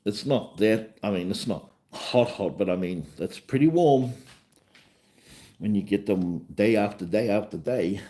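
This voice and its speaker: A man's voice: lowish voice, animated, a little slow, mellow. A man with a New Zealand accent hosting a casual livestream chat in an easygoing, rambling way, often repeating himself. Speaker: livestream host and vlogger.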